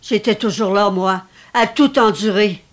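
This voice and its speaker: A woman's voice: mid-range voice, unhurried, lightly acted. An actress playing an elderly, possessive mother, theatrical and self-pitying, in a dramatic script reading. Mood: wounded, reproachful. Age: older. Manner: theatrical, reproachful, martyr-like.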